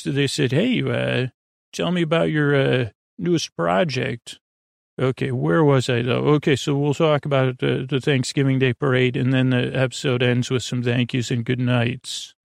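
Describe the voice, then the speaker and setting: Rambling friendly man with a gravelly voice. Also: medium pitch, no room sound, clean studio sound. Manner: meandering, self-interrupting, friendly rambling. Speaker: podcast host. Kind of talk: rambling bedtime podcast intro.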